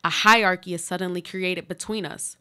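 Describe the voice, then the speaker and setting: A woman playing a desperate, pleading earthquake victim buried alive, in a deliberate, literary radio play monologue. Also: on the low side, steady tone, pushed and bright, smooth. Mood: anguished pleading. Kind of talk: live radio play monologue, read from a script.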